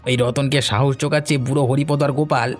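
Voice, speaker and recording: Man acting a fast-talking, hot-headed village henchman. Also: mid-range voice, little pitch movement, faint music behind, lightly acted. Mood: agitated, fawning. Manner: fast, agitated, goading. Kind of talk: cartoon dialogue.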